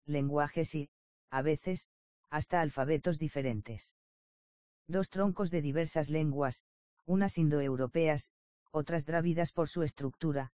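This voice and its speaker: A man reading an academic nonfiction text aloud in an even, steady manner, even voicing page numbers and footnote marks. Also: on the high side, no echo. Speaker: reader of an academic book. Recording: dull, lo-fi dry recording.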